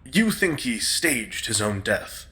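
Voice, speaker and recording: A man playing a droll, deadpan, fastidious dinner guest in a comedy audio drama. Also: medium pitch, heavily acted.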